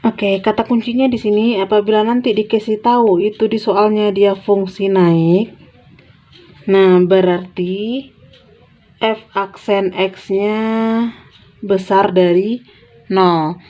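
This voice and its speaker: A woman's voice: mid-range voice, steady tone, slow pace, pauses often. A woman explains math step by step in an informal, big-sisterly way, repeating key phrases as she writes. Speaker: math tutor. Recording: dull, lo-fi sound.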